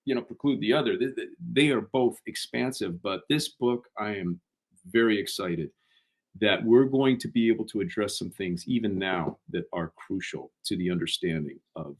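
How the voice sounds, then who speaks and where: A man's voice: lowish voice, normal speed. A man, a guest author, talking in a casual interview with many false starts and repeated words.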